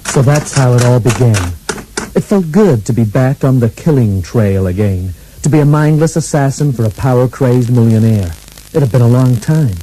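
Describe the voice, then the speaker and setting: Guy doing a dry hardboiled assassin narrator, mellow low-key voice, radio comedy. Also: normal speed, slight background noise, close to an everyday voice, rough recording. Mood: deadpan. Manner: deadpan parody of hardboiled narration. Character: deadpan hardboiled male bodyguard-assassin narrator. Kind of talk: 1980s comic radio play.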